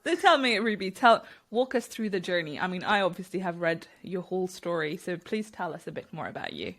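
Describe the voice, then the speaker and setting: A woman's voice: lowish voice, very animated, fairly quick, a bit bright. A woman hosting a podcast, reading an intro and then chatting with a guest in a friendly, upbeat way. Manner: friendly and upbeat, laughs easily. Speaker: podcast host. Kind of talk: casual interview chat.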